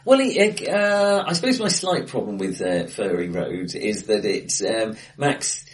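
A man co-hosting a casual film discussion, speaking in a hesitant, halting but enthusiastic way in a bright-sounding room. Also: on the low side, very animated. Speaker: podcast co-host. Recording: distant, bright echoey room.

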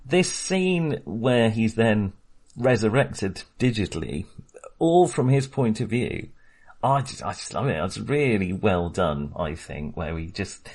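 A man's voice: lowish voice, very animated, unhurried, a little mellow. A man co-hosting a casual film discussion, chatty and hesitant, with many fillers and restarts and frequent laughs. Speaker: podcast co-host.

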